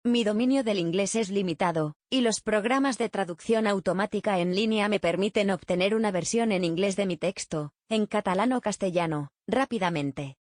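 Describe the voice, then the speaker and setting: A woman with a mid-range voice reads prepared text in a plain, steady manner. The recording is dry and close, with no room sound around her. Her delivery stays level and matter-of-fact. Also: mid-range voice, moderate pace, no room sound. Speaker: reader of survey answers. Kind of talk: scripted reading.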